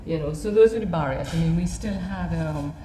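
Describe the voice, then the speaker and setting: Trinidadian woman in her 50s chatting thru an artist talk, lowish voice, roomy echo. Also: brisk, warm tone. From Trinidad and Tobago. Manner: conversational, often checking in with "you know". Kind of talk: informal artist talk, personal recollection. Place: gallery talk in a room. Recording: echoey room, noticeable background noise.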